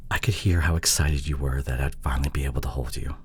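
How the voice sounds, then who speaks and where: A man playing an apologetic, insecure boyfriend in an intimate audio drama, speaking haltingly with sighs and breathy murmurs. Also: very deep voice, steady tone, a little mellow, slight background noise. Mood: tender, longing. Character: apologetic, pleading long-distance boyfriend, insecure young man. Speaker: audio roleplay voice actor.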